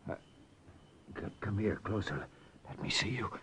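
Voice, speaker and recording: Weak, bewildered captive, noisy recording. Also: male voice, fairly low pitch, expressive. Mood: dazed disbelief. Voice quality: faint, halting. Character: weak, emaciated, bewildered captive American flyer. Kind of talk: 1940s-50s radio drama acting. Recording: noisy old radio recording.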